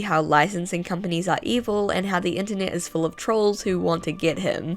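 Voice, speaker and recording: Young woman essayist, lowish voice, wry and chatty, post-illness, mic without pop filter. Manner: wry, conversational, with filler words in asides. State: just recovering from being sick. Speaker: YouTuber. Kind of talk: video essay narration with a chatty outro. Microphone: home mic without pop filter.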